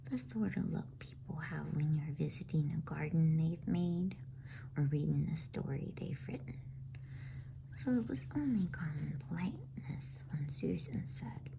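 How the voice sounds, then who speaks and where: Woman whispering a bedtime story asmr style, low gravelly softness, bit of noise behind. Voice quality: breathy unvoiced whisper. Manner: soothing, hushed, with deliberate pauses. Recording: faint background noise.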